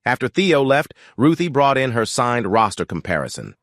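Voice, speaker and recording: Guy reading a first person story, even and reflective, pitch moves around a lot. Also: moderate pace, no echo, clean studio sound. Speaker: narrator.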